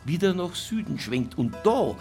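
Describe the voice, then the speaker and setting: Animated, earnest Bavarian local official. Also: male voice, sits high, unhurried, music in the background, exaggerated voice. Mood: explaining, concerned. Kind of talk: naturalistic drama dialogue, explaining findings.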